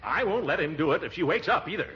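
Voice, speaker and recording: An actor playing a pompous, flustered middle-aged uncle who blusters and mutters in a 1940s radio sitcom. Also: male voice, on the high side, expressive, fast talker, bright, forceful tone. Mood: scheming, worried. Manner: blustering, muttering, sputtering with chuckles. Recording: muffled, very noisy 1940s radio recording.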